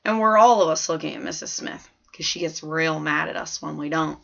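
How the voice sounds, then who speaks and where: A woman's voice: low voice, a little fast, vocal fry, a bit bright, heavily acted. Woman voicing a chatty fourth-grade girl storyteller, slightly dull audio. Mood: chatty, matter-of-fact. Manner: chatty, folksy, emphatic childlike storytelling. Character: plain-spoken, observant fourth-grade girl telling her story. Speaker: audiobook narrator. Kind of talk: scripted first-person story narration.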